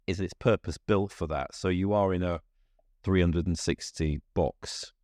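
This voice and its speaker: Male interviewer, deep voice, short encouraging prompts. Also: fairly even intonation, unhurried, darkish tone, no room sound, clean studio sound. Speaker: podcast host, interviewer.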